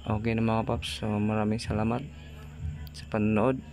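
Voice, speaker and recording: Filipino guy doing a chill how-to vlog, pretty flat delivery, talks to viewers like buddies, bit of music in back. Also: on the low side, moderate pace. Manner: informal, addresses viewers as buddies. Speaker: vlogger presenting a product tutorial. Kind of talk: casual hands-on tutorial.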